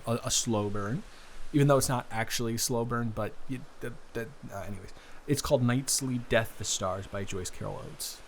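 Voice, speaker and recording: A man playing a friendly, bookish young guy, his best friend's brother, chatting casually and haltingly in an improvised roleplay audio. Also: medium pitch, slight background noise, close to an everyday voice. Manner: halting, rambling, self-interrupting with repeated restarts.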